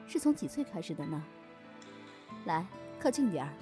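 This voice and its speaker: A woman's voice: on the low side, very animated, moderate pace. A woman playing a poised, teasing keeper of a magical inn in an audio drama, self-assured and probing. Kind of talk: Chinese audio drama acting. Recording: faint music behind the voice.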